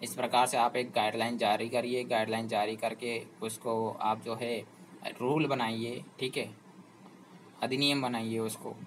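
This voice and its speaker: A man of ordinary pitch talks students through a topic, persuading and pressing them with rhetorical questions. Faint background noise hangs under his voice. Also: little pitch movement, a little slow, low-quality recording. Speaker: job information channel host. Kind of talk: explanatory talk to students.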